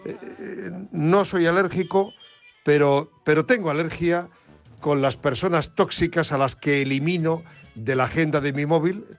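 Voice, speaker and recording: A man's voice: fairly high pitch, normal speed, soft background music. A man giving a reflective, emphatic opinion piece on the radio, with frequent hesitations. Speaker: radio program director, columnist. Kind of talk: opinion commentary.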